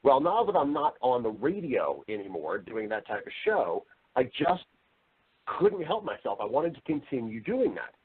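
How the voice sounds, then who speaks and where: A man's voice: mid-range voice, big pitch swings, moderate pace, breathy edge, phone-quality sound. Chatty man answering as interview guest. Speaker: guest, professional voiceover artist.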